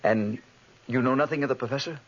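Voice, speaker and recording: An actor playing a loyal, earnest gentleman friend in 1940s radio drama style. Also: medium pitch, fast, close to an everyday voice. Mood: probing concern. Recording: noisy, muffled 1940s radio recording.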